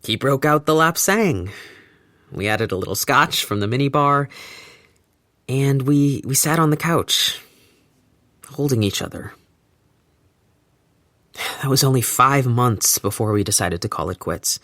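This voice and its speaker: A man's voice: medium pitch, measured pace, pauses often, pushed and bright, dry recording. An actor playing a wry man looking back on a breakup, speaking a reflective first-person monologue with hesitant restarts. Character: wry man newly split from his partner. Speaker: narrator of an audio drama.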